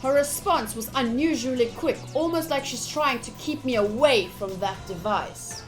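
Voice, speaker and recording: High-voiced woman streaming a visual novel. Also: normal speed, soft background music, poor audio. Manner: switches between dramatic read-aloud and chatty asides. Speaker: game streamer. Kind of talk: live visual novel playthrough, reading aloud and commenting to chat.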